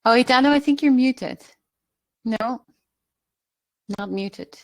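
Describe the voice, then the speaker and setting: A woman's voice: high voice, takes it slowly. A woman hosting an online panel, introducing guests in a casual, chatty way with small fillers and apologies. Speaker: host. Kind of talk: casual video call moderation. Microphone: video call.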